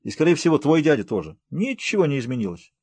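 Man playing a breezy, husky-voiced village guide. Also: on the high side, big pitch swings, quick pace, a little mellow, no echo, pitched above the performer's own voice, exaggerated voice. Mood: cheerful. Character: cheerful, confident man, friendly guide to his home village. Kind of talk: audiobook character voice.

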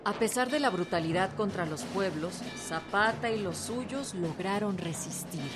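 Mexican woman narrating over loud string music. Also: mid-range voice, unhurried. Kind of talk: scripted radio documentary narration.

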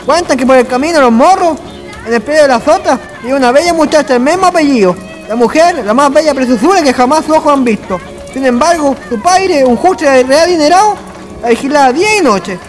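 A man's voice: extremely high pitch, expressive, brisk. An actor playing a folksy rustic man with a rural Chilean accent, telling anecdotes in a radio drama. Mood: storytelling. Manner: folksy, colloquial. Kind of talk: radio drama acting, colloquial storytelling. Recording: long reverb, faint music behind.

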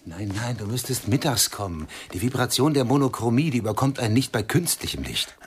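A man's voice: medium pitch, big pitch swings, brisk, a little hiss or hum, lightly acted. A man acting a cultured, easily offended art lover in a radio play, speaking emphatically and pedantically. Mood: earnest, precious.

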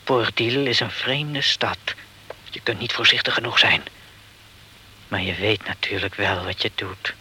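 A genial male associate with a low, husky voice and a very bright edge. He is measured and advising, quietly cautioning in a crime radio play. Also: steady tone, exaggerated voice. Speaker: actor in a crime radio drama. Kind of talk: crime radio drama acting.

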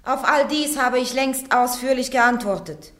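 A woman's voice: high-pitched, measured pace, a bit bright. An actress playing Joan of Arc, a young woman on trial who answers her judges curtly and stubbornly in a German radio play. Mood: defiant. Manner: stubborn, curt, defiant replies. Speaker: accused. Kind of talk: 1950s-60s German radio drama.

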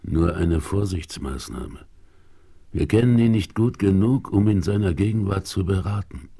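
A shrewd Western scout leader in a 1970s radio play. The voice is low and rough-edged, calm and deliberate, with quiet command. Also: male voice, full character voice. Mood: calm, cautious. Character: shrewd, calm frontiersman leader, cautious and decisive. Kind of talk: 1970s German audio drama acting.

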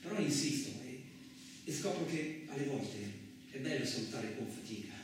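An actor playing a frightened, injured farm labourer, telling his story in the first person as a halting, repetitive theatrical radio monologue. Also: mid-range voice, lively intonation, noisy background, lightly acted. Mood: hushed, fearful. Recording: very echoey, distant, loud music behind. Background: music.

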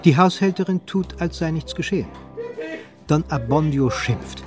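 A man's voice: a little high, expressive, normal speed, music in the background. A man narrating a literary radio play in a scripted, storytelling style.

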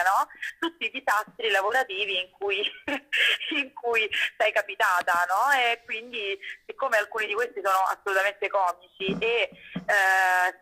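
A young woman speaks as an interview guest in a bright, crisp-edged voice at an average pitch. She is articulate and conversational, explaining at length and often adding little tag questions. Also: poor audio. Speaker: guest, actress and playwright.

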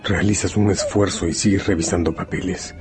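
A man narrating a radio novel in a solemn, deliberate, dramatic second-person style. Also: low-pitched, steady tone. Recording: music bed under the voice. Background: music.

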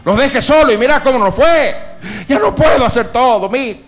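A man's voice: very high voice, animated, moderate pace, a bit bright. A man playing a folksy, foul-mouthed, exasperated Argentine provincial boss in a satirical radio sketch, grumbling and repeating himself colloquially. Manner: grumbling, colloquial, repeats words and pet address.

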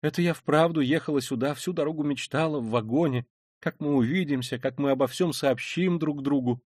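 A man's voice: on the high side, a little fast, a little mellow, dry recording, studio quality, voice pushed up, natural voice. A man voicing an anxious, doting middle-aged mother in a storybook, flustered, effusive and pleading.

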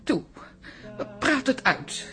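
A woman's voice: extremely slow, fairly bright tone. An actress playing a kind, fretful older grandmother in a radio drama, stammering and repeating her words hesitantly. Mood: pained, pleading. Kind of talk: Dutch radio drama acting.

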